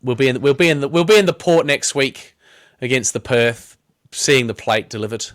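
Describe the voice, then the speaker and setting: A man's voice: medium pitch, normal speed, a bit bright, dry recording. A man hosting a football fan podcast, chatting casually and upbeat, often saying "lovely" and "mate". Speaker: podcast host. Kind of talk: casual chat.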